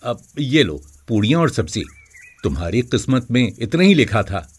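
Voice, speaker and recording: A man playing a gruff, resigned server at a charity food line in a children's story. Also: mid-range voice, very animated, normal speed. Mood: blunt, resigned.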